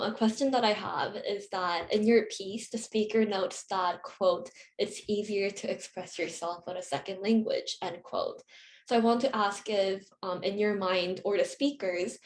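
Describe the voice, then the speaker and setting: A young woman hosting a reading Q&A over a video call, friendly and casual with lots of fillers. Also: medium pitch. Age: young adult. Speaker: journal host interviewer. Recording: roomy video call audio.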